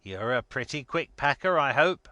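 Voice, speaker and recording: A man's voice: mid-range voice, animated, normal speed, pushed and bright, no echo, voice pushed up. A man voicing a blustering, scornful older rich man in a dramatic story reading, emphatic and mocking.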